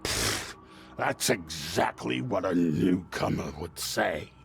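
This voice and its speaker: A grumpy, suspicious innkeeper who scolds his guests with open contempt. His voice is heavily gravelly and lowish, and he drawls slowly through each complaint. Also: lively intonation, full character voice. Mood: grumbling. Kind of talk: video game cutscene acting.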